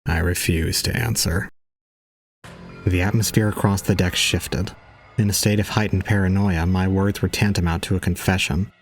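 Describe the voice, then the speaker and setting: Man as brooding young male narrator, audio drama, deep voice, calm, unemotional, resigned, faint music. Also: normal speed. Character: detached, resigned young male student recounting his own ordeal. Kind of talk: scripted first-person audio drama narration.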